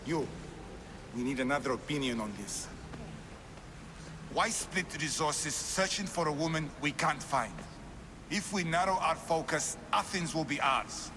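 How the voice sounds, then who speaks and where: High-pitched scheming male cultist, hall reverb. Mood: insistent. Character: argumentative, scheming male cultist, cold and impatient. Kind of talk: video game cutscene acting. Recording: long hall reverb, noisy ambience.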